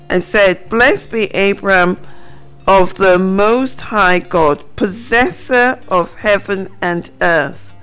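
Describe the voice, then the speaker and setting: Slow-speaking woman teaching scripture. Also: medium pitch, clear, resonant tone. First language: not Hebrew. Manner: slow, reflective, reads scripture aloud. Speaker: radio Bible teacher. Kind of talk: Bible teaching on radio. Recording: faint guitar music behind. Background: soft guitar music.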